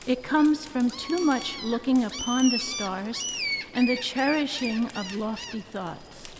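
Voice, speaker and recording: Woman reading as slow, stern, skeptical Magus. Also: on the high side, darkish tone. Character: skeptical, dismissive Magus, stern and worldly-wise. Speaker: amateur church reader. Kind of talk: dramatic reading of a Christmas story. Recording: echoey hall reverb with background noise.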